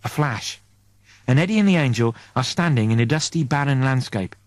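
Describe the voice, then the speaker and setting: Animated man reading an audiobook story. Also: mid-range voice, brisk, no room sound. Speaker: audiobook reader. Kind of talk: dramatic third-person story narration in present tense.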